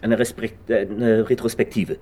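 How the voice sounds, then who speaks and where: An actor playing a timid, nervous young private detective in a radio crime drama, stammering and hesitant. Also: male voice, on the low side, flat delivery, normal speed, dark tone, close to an everyday voice. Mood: flustered.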